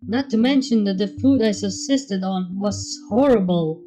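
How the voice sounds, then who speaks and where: A woman's voice: mid-range voice, a little slow, mellow, soft background music, close to an everyday voice. A woman voicing a blunt, world-weary pony with a dry manner in a fan story reading.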